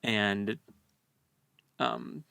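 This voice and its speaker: A man co-hosting a casual book discussion, speaking in halting, informal phrases. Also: lowish voice, fairly even intonation, gravelly, bright, forceful tone, smooth, dry recording. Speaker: podcast co-host.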